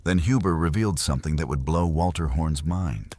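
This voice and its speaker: A man narrating history in an unhurried, conversational way, as if explaining to a listener. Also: rumbling low, darkish tone. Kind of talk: documentary history storytelling.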